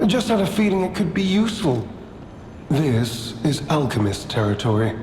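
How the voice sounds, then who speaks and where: Higher-voiced weary wanderer, echoing. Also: male voice, sing-song, normal speed, darkish tone. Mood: reflective. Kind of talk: video game character dialogue. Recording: echoey reverberant space with clear noise.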